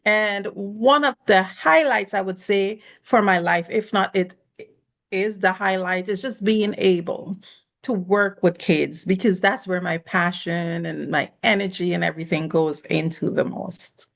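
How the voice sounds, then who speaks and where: A woman's voice: medium pitch, measured pace, vocal fry, a bit bright. Earnest woman from the Caribbean. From Caribbean, born in Guyana, raised in Barbados. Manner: gracious, earnest, laughs lightly between thoughts. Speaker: podcast guest. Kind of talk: personal storytelling in conversation.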